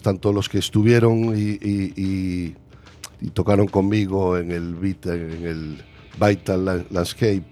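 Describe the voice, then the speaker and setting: Very deep-voiced Galician man, hesitant. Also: darkish tone. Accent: Galician Spanish. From Galicia, Spain. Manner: hesitant, self-deprecating, searching for words. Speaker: musician guest. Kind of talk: casual interview chat.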